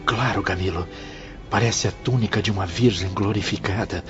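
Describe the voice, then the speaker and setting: A man playing a devout spirit companion, hushed and reverent in an audio drama. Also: fairly low pitch, moderate pace, close to an everyday voice. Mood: awed. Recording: muffled lo-fi audio with music.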